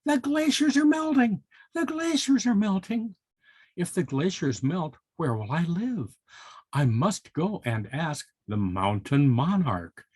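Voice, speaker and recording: A man's voice: high voice, very animated, takes it slowly, a little mellow, husky, dry recording, voice pushed up, full character voice. A man voicing a small, fretful male pika in a children's storybook, with exclamatory delivery. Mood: alarmed. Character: small alarmed male pika, whistling mountain animal. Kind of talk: children's storybook read-aloud.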